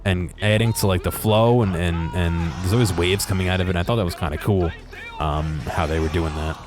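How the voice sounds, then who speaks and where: Deep voiced guy casually talking thru game footage, kinda hesitant, faint music behind. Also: steady tone, brisk. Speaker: video narrator.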